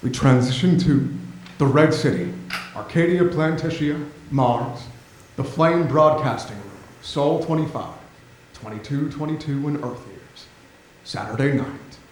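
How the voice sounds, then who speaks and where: A man's voice: medium pitch, a little slow. A man reading stage directions as scripted narration at a staged theatre reading. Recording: echoey theatre with faint noise.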